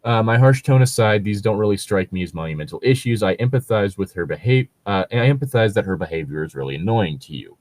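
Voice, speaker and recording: A man with a low voice talks casually through his opinions, stopping and restarting as he goes. The sound is dry and close, and he peppers his talk with fillers and the odd swear word. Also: animated, fast, hardly any pauses, darkish tone, dry recording. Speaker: podcast host reacting to read-aloud Reddit posts. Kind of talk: casual chat and commentary.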